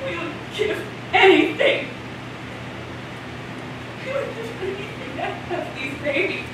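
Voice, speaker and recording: A woman's voice: very high voice, expressive, slow pace, full character voice. A woman acting an indignant woman in crisis in a stage play, projecting her monologue with emphatic, impassioned delivery. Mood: anguished pleading. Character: indignant, anguished women in crisis over lost rights. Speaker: stage actor. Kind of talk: theatrical monologue in a one-act play. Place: indoor stage before a seated audience. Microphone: distant camera. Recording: echoey, noisy hall from far off.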